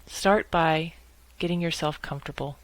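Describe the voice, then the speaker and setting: A woman guiding a meditation in a measured, instructional way, speaking in short phrases with pauses between them. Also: sits low, low-quality recording. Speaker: guided meditation leader. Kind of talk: guided meditation narration.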